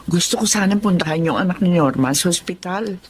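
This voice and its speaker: A woman's voice: lowish voice, brisk, slight background noise, close to an everyday voice. A Filipino woman playing a caring middle-aged wife in a radio drama, earnest and sympathetic. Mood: tender, wistful.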